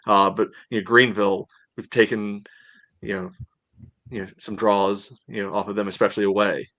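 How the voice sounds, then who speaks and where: A man with a fairly low voice, recorded very dry, co-hosts a sports podcast. He analyses casually, thinking out loud in a conversational flow. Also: level tone, moderate pace, dry recording. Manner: conversational, reasoning aloud with frequent "you know". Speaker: podcast co-host.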